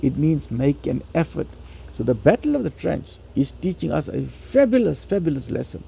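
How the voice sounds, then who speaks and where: A man with a raspy voice giving a motivational religious lecture, urging listeners emphatically and repeating phrases for stress. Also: on the high side, big pitch swings, moderate pace, mellow. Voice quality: raspy, gravelly.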